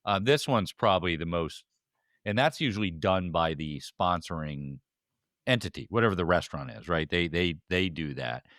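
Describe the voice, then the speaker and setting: A man's voice: low voice, animated, normal speed, a bit bright, dry recording, studio quality. A man co-hosting a podcast in casual banter, speaking hesitantly and often repeating his phrases. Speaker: podcast co-host.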